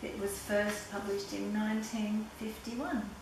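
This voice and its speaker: An older woman reading a children's picture book aloud in a deliberate, playful storytelling way. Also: medium pitch, flat delivery. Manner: simple, deliberate reading with playful sound words. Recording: very echoey room, distant mic, background noise.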